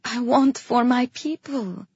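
Lady reading as a devout earnest queen, respectful, bit husky, audiobook style. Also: a little high, slow, a little mellow, muffled recording, full character voice. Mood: quiet, resolute. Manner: earnest, deferential. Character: earnest, devout young queen appealing to her king. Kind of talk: audiobook character acting.

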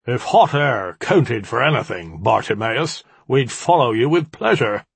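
A huge, hairy rat-spirit from a storybook, played by a male narrator, with a thick, booming and very husky voice. He is blunt and full of contempt, swinging his pitch as he throws his weight around. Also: sing-song, measured pace, no room sound, full character voice. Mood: scornful. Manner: blunt, scornful.

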